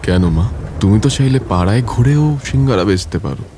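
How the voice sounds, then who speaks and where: A man's voice: on the low side, animated, quick pace, background noise, voice pushed down. A man voicing a poor, humble and kindly old beggar in a cartoon story. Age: older.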